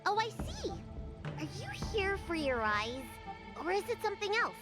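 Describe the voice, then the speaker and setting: A woman voicing an excitable young girl dragon healer in a video game, expressive and easily flustered into stammering. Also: very high voice, moderate pace, exaggerated voice. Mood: chirpy, professional. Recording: loud game music behind. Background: game music.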